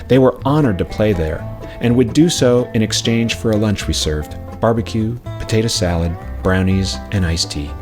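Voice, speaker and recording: A man reading a scripted personal memoir in a measured, reflective storytelling way. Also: fairly low pitch, expressive, darkish tone. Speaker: narrator of own memoir, former radio station worker. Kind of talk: scripted narration. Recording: music playing behind the voice. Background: plucked acoustic string music.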